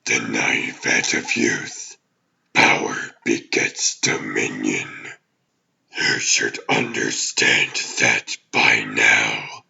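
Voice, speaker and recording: A man voicing an ancient, imperious demon overlord in a comic dub, with a gravelly growl and pompous, formal, deliberate delivery. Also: rumbling low, bright, forceful tone, lo-fi sound, full character voice. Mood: condescending. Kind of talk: theatrical villain acting in a comic dub.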